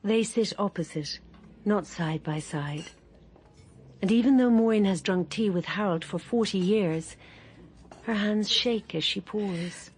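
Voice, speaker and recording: A woman narrating a radio drama in a calm, measured, slightly wry voice. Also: medium pitch, a little hiss or hum.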